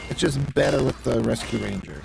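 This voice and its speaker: A man's voice: mid-range voice, fairly quick, creaky, very noisy. A man live-streaming a game with casual commentary, musing aloud as he thinks through his tactics. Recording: music behind the voice. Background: music.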